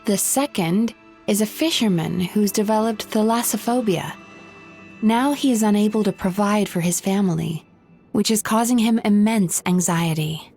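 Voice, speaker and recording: An actress playing a composed, firm and caring dream therapist in an anime video game, speaking in a measured, explanatory manner. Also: female voice, medium pitch, warm tone, soft background music, close to an everyday voice. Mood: calm, correcting.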